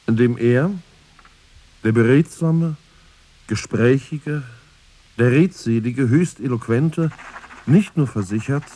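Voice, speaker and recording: An actor playing a learned, insistent commentator in a literary radio play, speaking deliberately and with pointed emphasis. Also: male voice, medium pitch, warm tone. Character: learned, insistent male commentator dissecting a poet's self-portrait.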